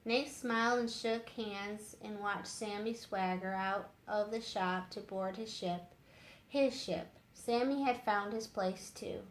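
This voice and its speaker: A woman's voice: mid-range voice, takes it slowly. A woman narrating a storybook as a read-aloud for story time. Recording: roomy echo with faint background noise.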